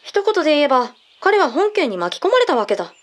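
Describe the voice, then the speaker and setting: A woman playing a brisk, matter-of-fact female agent in a radio drama, speaking in blunt, mannish phrasing as she explains. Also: sits high, sing-song. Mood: matter-of-fact, explanatory. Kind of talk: Japanese radio drama acting.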